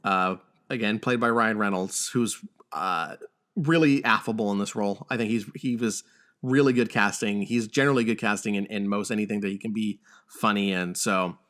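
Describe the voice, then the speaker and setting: A man co-hosting a podcast in a casual discussion, with a halting, stammering delivery. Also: fairly low pitch, fairly bright tone. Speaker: podcast host.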